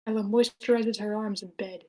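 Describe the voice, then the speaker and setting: A woman's voice: medium pitch, fairly even intonation, a little fast, warm tone. A woman reading out scripted stage directions as the narrator of a screenplay table read.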